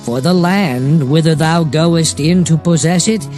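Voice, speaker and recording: An actor playing Moses as a solemn prophet exhorting his people in a declamatory, measured dramatized scripture reading. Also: fairly high pitch, darkish tone, close to an everyday voice. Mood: solemn, commanding. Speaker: scripture narrator. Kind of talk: dramatized Bible reading in archaic English. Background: music under the voice.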